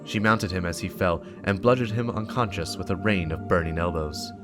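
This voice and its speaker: A man's voice: sits low, moderate pace. A man giving a dramatic scripted narration of a story. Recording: music behind the voice. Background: game soundtrack music.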